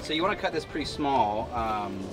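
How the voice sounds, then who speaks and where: A man's voice: medium pitch, lively intonation, brisk. Teasing man co-hosting over music. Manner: teasing, instructing. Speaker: off-camera co-host. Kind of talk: casual teasing banter. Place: outdoor patio kitchen, behind camera. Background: music, food sizzling.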